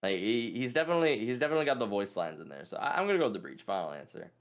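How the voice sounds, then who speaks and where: A man's voice: on the low side, very animated, racing. A man taking part in a quiz, thinking aloud in casual, informal chat as he weighs his answers. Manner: deliberating, informal. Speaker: quiz contestant.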